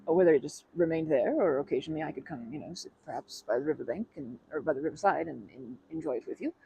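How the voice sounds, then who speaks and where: A woman's voice: deep voice, lively intonation, fast talker, creaky, warm tone, breathy edge, lo-fi sound, full character voice. A woman playing a rambling, awkwardly formal stranger. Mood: shy rambling. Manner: rambling, formal phrasing, many fillers and self-corrections. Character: polite, awkwardly formal female stranger wanting to befriend. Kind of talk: ASMR roleplay.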